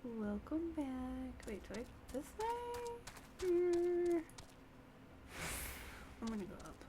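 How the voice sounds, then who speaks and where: Female streamer, fairly high and slow-paced, thinking aloud casually over noisy game sound. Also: expressive. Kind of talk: casual live gameplay commentary.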